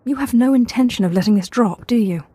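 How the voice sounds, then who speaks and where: A woman's voice: a little high, fast talker, dark tone, breathy edge, no echo. A woman playing a cool, self-possessed Sith sorceress in a video game, speaking in terse, dry phrases. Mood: dry, knowing.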